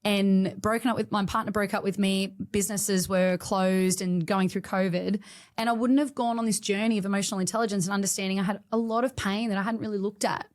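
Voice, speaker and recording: A woman, a studio owner telling her story with feeling in a podcast interview. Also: mid-range voice. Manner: emotional, emphatic storytelling.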